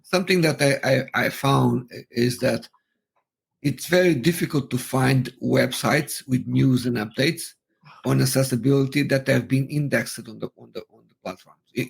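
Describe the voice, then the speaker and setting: A man co-hosting a discussion show, speaking haltingly with many stammered restarts. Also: medium pitch, creaky, slightly rough. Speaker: podcast co-host. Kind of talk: casual discussion.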